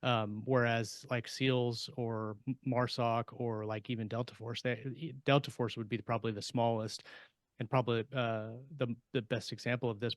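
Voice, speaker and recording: A man's voice: medium pitch, dry recording. A man on a podcast panel, thinking aloud as he explains things in casual conversation. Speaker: podcast panelist and sci-fi author.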